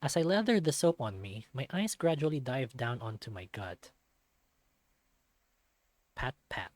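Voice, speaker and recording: A man's voice: medium pitch, sing-song, measured pace, darkish tone, no room sound. Wry young otter voiced by a man, dry sound. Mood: wry, subdued. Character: self-deprecating young male otter, college dropout. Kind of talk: first-person visual novel narration.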